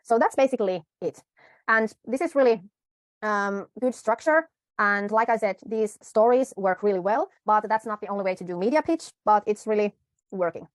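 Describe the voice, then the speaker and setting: Woman, media pitch coach, tutorial talk, self-correcting, dry close sound. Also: no echo. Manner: unhurried, with frequent pauses and self-corrections.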